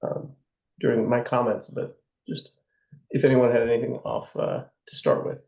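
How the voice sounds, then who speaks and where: Hoarse man lecturing in a roomy space. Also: mid-range voice, fairly even intonation, normal speed, a little mellow, lo-fi sound. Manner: loose and conversational, many fillers and tag questions. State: hoarse from a sore throat. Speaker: instructor. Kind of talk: lecture on investing.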